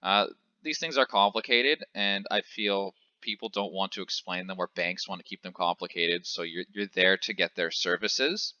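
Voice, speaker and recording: A man's voice: deep voice, normal speed, bright, forceful tone, dry recording, highs cut off. A man in his twenties from Canada explaining investing in a casual, chatty way, with frequent fillers and self-corrections. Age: 20s. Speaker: finance educator.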